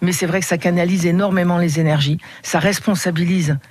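A middle-aged woman from the Paris region answering radio interview questions in a measured way, with frequent hesitations. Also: fairly low pitch, steady tone, a little mellow. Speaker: head of a regional riding committee, radio guest.

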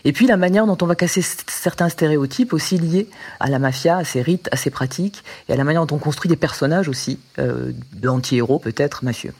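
A man's voice: fairly high pitch, a little fast, few pauses, a little mellow, breathy edge. A man speaking as a guest on a radio panel in an academic manner, with frequent hesitations.

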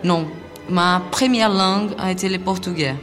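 A woman reading interview answers aloud at a live public reading, calm and matter-of-fact. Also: on the low side, moderate pace, soft background music. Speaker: reader performing an interview. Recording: long reverb from a live hall.

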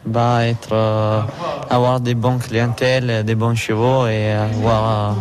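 Italian male jockey, monotone, brief hesitant answers. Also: lowish voice, slow, music in the background. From Italy.

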